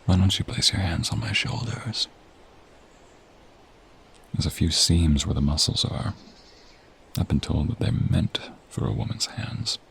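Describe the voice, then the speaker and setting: A man playing a confident, flirty office coworker in a romantic audio role play, with a smooth-talking, teasing, intimate manner. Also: low-pitched, a little slow, long pauses, fairly bright tone, slight background noise, full character voice. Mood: intimate, coaxing.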